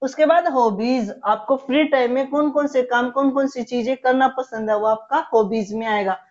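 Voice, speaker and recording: A woman in her thirties teaching spoken English, explaining in Hindi with English words mixed in and slowly dictating each example sentence. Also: high-pitched, a little mellow, highs cut off. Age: 30s.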